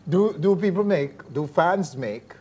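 A man's voice: a little high, lively intonation, measured pace, darkish tone, slightly rough. A man in his forties hosting a studio interview, asking friendly, upbeat questions. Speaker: TV show host. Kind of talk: TV entertainment interview. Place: TV studio set.

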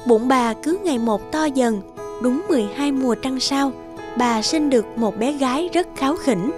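A woman reading scripted narration for a children's folk tale. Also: a little high. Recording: children's music behind the voice.

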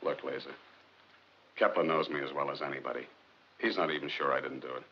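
An actor playing a brooding, determined scientist in a 1950s film drama, with clipped, earnest, sardonic delivery. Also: male voice, extremely low pitch, fairly even intonation, fairly quick, slight background noise. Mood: bitter, resigned. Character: brooding, determined male rocket scientist accused of murder. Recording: muffled 1950s film soundtrack.

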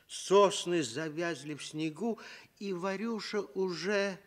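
A man narrating a children's tale for radio with deliberate, expressive phrasing. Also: high-pitched. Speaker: storyteller.

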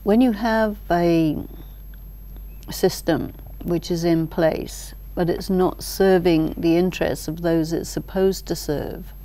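An older woman explaining ideas thoughtfully as an interview guest, in short measured phrases with deliberate pauses. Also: lowish voice, fairly even intonation, warm tone. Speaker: expert guest, teacher. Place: large library hall, indoors. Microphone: lapel mic.

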